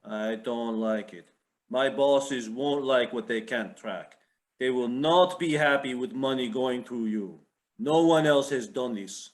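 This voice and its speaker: An actor playing a menacing, impatient Russian gangster in a play reading, with a Russian accent and curt broken English. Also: male voice, mid-range voice, takes it slowly, close to an everyday voice. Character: menacing, impatient Russian mob boss's enforcer, a man.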